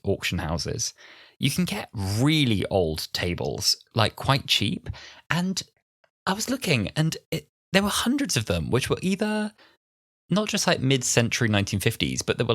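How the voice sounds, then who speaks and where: A man in his twenties co-hosting a podcast, chatting casually and telling stories in an animated, chatty way. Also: medium pitch, dry recording, studio quality. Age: 20s. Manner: chatty, animated storytelling with acted-out asides. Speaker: podcast co-host. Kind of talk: casual chat with a friend.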